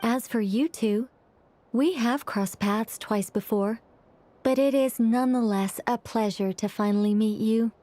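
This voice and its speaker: A woman playing a serene, courteous and enigmatic mage in a video game, speaking formally and gently. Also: on the high side, unhurried, lightly acted. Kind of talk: English video game voice acting.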